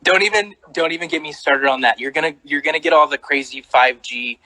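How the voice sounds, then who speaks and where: A man's voice: a little high, brisk, no room sound, rough recording. A man interviewing a guest in casual chat, hesitant with many fillers. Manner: lively, hesitant with many likes and ums.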